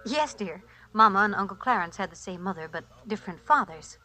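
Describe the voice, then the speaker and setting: An actress playing a gentle, anxious mother in 1940s radio drama style. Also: mid-range voice, lively intonation, a little fast. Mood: soothing. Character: gentle, anxious mother soothing her child. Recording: vintage 1940s radio recording.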